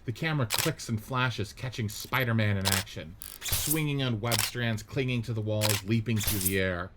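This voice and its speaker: A man narrating stage directions in a measured, matter-of-fact way during a radio play script reading. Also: lowish voice, background noise.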